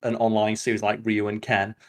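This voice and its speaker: A man talks very quickly in casual podcast chat. He is opinionated and swears freely, with the loose delivery of a host talking to a friend. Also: on the low side, monotone.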